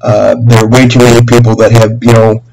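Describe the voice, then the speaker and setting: A middle-aged man making a rambling, aggrieved and earnest complaint as a caller, halting and repeating himself in long winding sentences. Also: mid-range voice, little pitch movement, a little mellow. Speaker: caller to a government office. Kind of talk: spontaneous phone complaint, rambling monologue. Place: small room at home, indoors. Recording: humming noisy home webcam recording.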